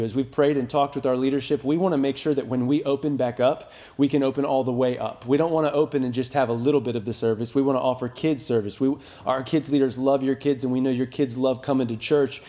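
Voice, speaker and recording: A man's voice: mid-range voice, warm tone. An American man in his thirties, a pastor giving an informal, earnest update to his congregation in a plain-spoken, reassuring way. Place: small indoor room with wood-plank walls.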